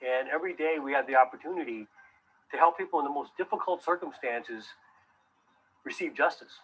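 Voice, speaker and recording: A man's voice: mid-range voice, fairly quick, fairly bright tone, slightly rough. A man who works as a public defender, speaking earnestly and steadily in a recorded testimonial, on dull lo-fi audio.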